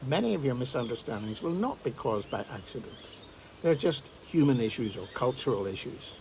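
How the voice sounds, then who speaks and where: A man teaching English learners, talking straight to camera in a measured, advising way. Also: mid-range voice, sing-song, darkish tone, slight background noise. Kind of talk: direct-to-camera lesson.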